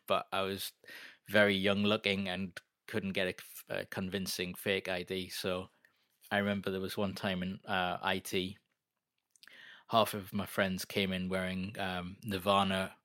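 Deep-voiced man, bright and dry. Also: dry recording. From North East England. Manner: self-deprecating, rambling with many fillers. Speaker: guest. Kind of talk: casual interview chat.

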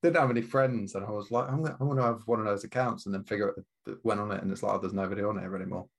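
A man of about thirty hosts a casual podcast chat in a laid-back way. He swears offhandedly and tosses in tag questions. His voice sits slightly low. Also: steady tone, racing, gravelly, slight background noise. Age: 30s. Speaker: podcast host.

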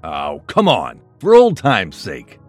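A man playing a loyal, concerned best friend in a crime audio drama, with a questioning, animated way of talking. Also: low-pitched, slow pace, faint music behind, full character voice.

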